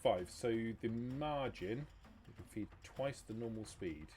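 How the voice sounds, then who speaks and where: A man running a tabletop roleplaying game as game master, explaining rules and narrating in halting, self-correcting phrases. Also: mid-range voice, animated, mellow. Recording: noisy hobby livestream audio.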